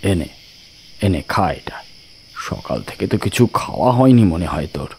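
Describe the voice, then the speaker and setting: An actor playing a brooding, gruff tantric holy man in an audio story, speaking slowly and condescendingly, as if to a youngster. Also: deep voice, lively intonation, darkish tone, a little hiss or hum. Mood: gruffly kind. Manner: slow, condescending, addresses listener as a youngster.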